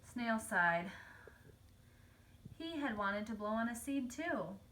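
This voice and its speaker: A woman reading a children's story aloud as narrator, telling it clearly for young children. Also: on the high side, animated, takes it slowly, fairly bright tone, slight background noise. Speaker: storybook reader.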